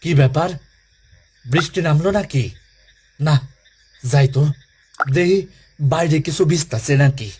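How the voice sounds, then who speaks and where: A man's voice: fairly high pitch, measured pace, long pauses, a little mellow, a little hiss or hum, lightly acted. A man playing an anxious village man spooked by a ghost in an audio horror drama, with rustic Bangladeshi speech. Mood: puzzled, uneasy.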